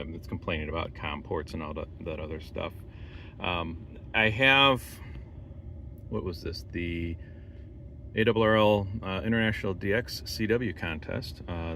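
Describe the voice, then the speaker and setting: Low-pitched man, rambling vlog, noisy background. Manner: rambling, halting, thinking aloud. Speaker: amateur radio operator making a vlog. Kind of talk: casual unscripted video walkthrough. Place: in and around a parked truck. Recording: steady background noise of car and outdoors.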